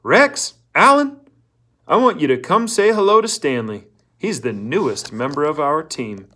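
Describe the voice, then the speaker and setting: A man's voice: a little high, very animated, unhurried, pushed and bright, pitched above the performer's own voice, heavily acted. Man putting on a hearty, preachy camp counselor. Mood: hearty, encouraging. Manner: hearty, encouraging, patronizing. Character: cheerful, preachy male camp counselor addressing teenage boys.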